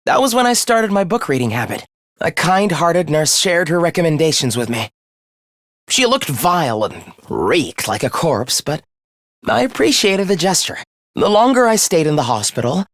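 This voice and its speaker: A courteous, menacing young misanthrope with swooping pitch. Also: male voice, no room sound, studio quality. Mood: soft, courteous. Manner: courteous, formal, theatrical, condescending. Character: polite, softly menacing young man who loathes humanity. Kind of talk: English video game voice acting.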